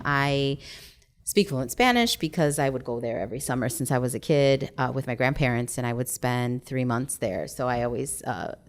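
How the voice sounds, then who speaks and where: Woman, from Chicago, deep voice, animated, acts out quotes, casual interview guest. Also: smooth. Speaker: theater director and guest.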